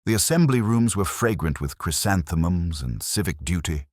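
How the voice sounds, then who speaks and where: A man narrating a scripted comic mystery story in a measured, wry, literary way. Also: low-pitched, animated, no echo, clean studio sound. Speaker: audiobook narrator.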